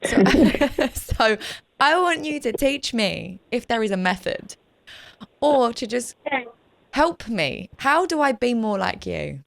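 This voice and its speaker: A young woman hosting a podcast, talking in a chatty, animated, self-deprecating way. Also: on the high side, slow pace, gravelly, dry recording. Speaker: podcast host. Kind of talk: casual chat.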